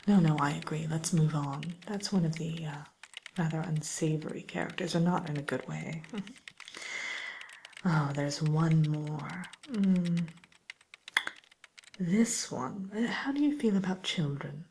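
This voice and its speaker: A woman playing a polite, discreet matchmaker for villains in a whispered ASMR roleplay, speaking softly and breathily with tactful, hesitant, gently dry delivery. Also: deep voice, measured pace, vocal fry, pauses often, slight background noise. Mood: tactful, wry.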